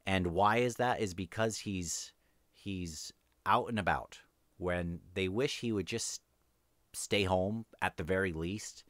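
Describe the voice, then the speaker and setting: A man's voice: low voice, slow pace, fairly bright tone, no room sound. A man hosting an unscripted read-along book discussion, thinking aloud in a hesitant, rambling way.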